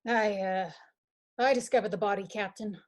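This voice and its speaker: A woman's voice: medium pitch, fairly even intonation, close to an everyday voice. A woman playing a muddled, hesitant male amateur detective in an amateur radio-style mystery. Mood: flustered. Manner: halting, flustered.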